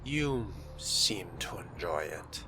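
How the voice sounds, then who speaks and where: Man playing a slow, low aloof vampire. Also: sing-song, bright, forceful tone, slight background noise, heavily acted. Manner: measured, terse, dry. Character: aloof, curt fledgling male vampire with dry disdain. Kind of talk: video game role-play voice-over.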